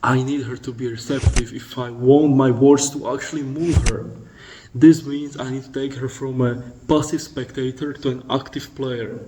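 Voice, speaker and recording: A man's voice: mid-range voice, fairly even intonation, normal speed, crowd noise, poor audio. A man giving blunt, profane dating instruction as a voice-over, lecturing and addressing the listener directly. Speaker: dating coach.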